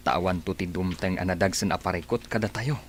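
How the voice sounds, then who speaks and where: A man acting as a calm, agreeable husband and father in a radio play. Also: low voice, a little fast, slight background noise, close to an everyday voice.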